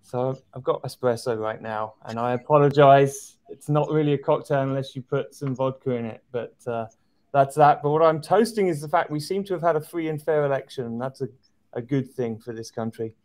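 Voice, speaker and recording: A middle-aged man guesting on a video call from an office, speaking in a thoughtful, measured way. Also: medium pitch, darkish tone. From outside the US. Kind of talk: casual chat on a video call. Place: office indoors. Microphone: video call webcam.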